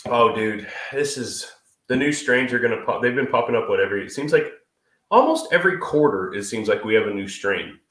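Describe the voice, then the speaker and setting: A man's voice: mid-range voice, expressive, a little fast, fairly bright tone. A man in his thirties co-hosting a live stream in casual, crude, joking chat, stuffy and sniffly from a cold. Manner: crude, joking, swears freely. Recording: echoey room.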